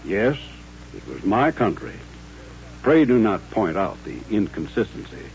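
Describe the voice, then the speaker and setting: A man acting in an old-time radio drama as a courtly, dignified colonel of mature years, with formal, measured speech. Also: on the low side, big pitch swings, darkish tone. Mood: grave, dignified. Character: courtly, dignified older Southern colonel turned rancher. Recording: muffled old radio recording with hum.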